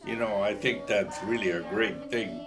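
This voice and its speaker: An older woman with a gravelly voice answering slowly and agreeably in an interview. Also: rumbling low, over music.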